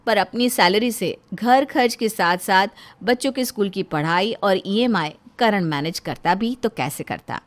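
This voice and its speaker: A woman's voice: mid-range voice, unhurried, few pauses. A woman reading scripted narration for a moral story as its storyteller.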